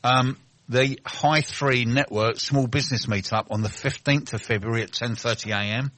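A man's voice: mid-range voice, steady tone, moderate pace, a bit bright, no room sound. A man hosting a local business radio show, reading event listings and trading dry, teasing banter with his co-host. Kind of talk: casual radio chat and event announcements.

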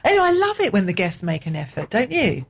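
A woman's voice: medium pitch, wildly swooping pitch, fast, creaky, slightly rough. A woman co-hosting a radio show in a studio, rambling and gossipy in casual banter. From England. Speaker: radio co-host.

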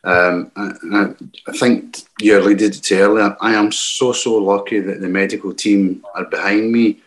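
A veteran speaks on a panel in a lowish voice. He is earnest and halting, restarting his sentences as he finds his words. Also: fairly even intonation, rough recording.

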